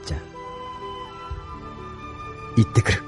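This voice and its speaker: A man's voice: measured pace, lightly acted. A man playing an earnest young resistance pilot in an anime audio drama, solemn and determined in delivery. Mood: tender, solemn. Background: background music.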